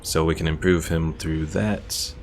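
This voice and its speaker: Low, creaky-voiced male game streamer. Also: fairly even intonation, a little slow, a little hiss or hum. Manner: laid-back, thinking aloud. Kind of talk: casual gameplay commentary, reading viewer comments.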